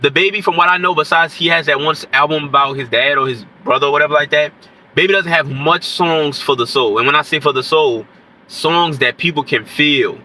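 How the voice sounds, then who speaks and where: A man's voice: a little high, brisk, bright, forceful tone. A man, sick and congested with a nasal voice, rambling casually to camera as an independent rapper. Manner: rambling, keeps saying "you know what I'm saying". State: sick with stuffy nose and scratchy throat. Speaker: independent rapper commenting on hip-hop. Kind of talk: casual unscripted commentary to camera.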